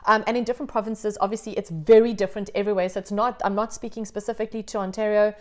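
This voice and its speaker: A woman's voice: medium pitch, steady tone, racing. A woman hosting an interview, an upbeat yoga teacher who talks in an encouraging, reassuring way. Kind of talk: conversational interview.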